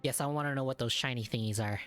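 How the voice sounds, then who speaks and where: A young woman with a low-pitched voice reacts casually to a game. She teases in slangy, giggly remarks, with faint music behind her. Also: quick pace. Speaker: gaming streamer.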